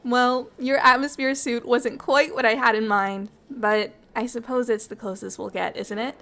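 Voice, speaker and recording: A woman playing a bubbly, affectionate alien ambassador in an ASMR roleplay, curious about humans, with expressive, earnest and slightly formal speech. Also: on the high side. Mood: affectionate, sincere. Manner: expressive, earnest, slightly formal wording, halting when moved. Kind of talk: ASMR roleplay acting, intimate one-sided dialogue.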